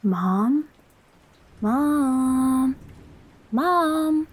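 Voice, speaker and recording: A woman's voice: high-pitched, lively intonation, drawn-out words, full character voice. A woman playing an eager, helpful young daughter in an audio drama.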